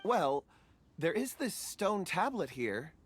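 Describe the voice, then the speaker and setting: Game casting: gentle scholarly man, hesitant and reflective, with a very varied lilt. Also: high-pitched, sing-song, takes it slowly, exaggerated voice. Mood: uncertain. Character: mild-mannered thoughtful male stone expert, slightly hesitant. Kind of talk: video game character acting. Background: game music.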